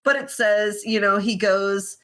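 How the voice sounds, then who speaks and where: A woman's voice: mid-range voice, measured pace, a bit bright. Woman, podcast host, informal, sweary, giggly, casual retelling and commentary.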